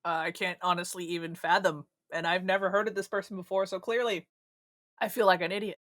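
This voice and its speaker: A man's voice: high-pitched, flat delivery, quick pace, a bit bright, no room sound, studio quality. A man co-hosting a gaming podcast in casual chat. He teases his co-host with crude jokes and laughs a lot.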